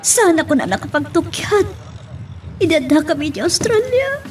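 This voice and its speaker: A woman with an Ilocano Filipino accent playing a troubled, remorseful married woman in an audio melodrama, halting and pleading. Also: extremely high pitch, sing-song, slight background noise. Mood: troubled, tearful. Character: distressed pregnant married woman, remorseful, confessing an affair. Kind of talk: Ilocano radio-style melodrama acting.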